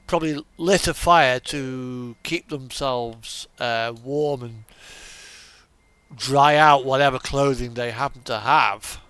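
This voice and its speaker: A man's voice: medium pitch, slow, fairly bright tone, smooth, no room sound. A man giving casual, rambling commentary as he plays a game, often muttering and thinking aloud to himself. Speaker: gaming video host.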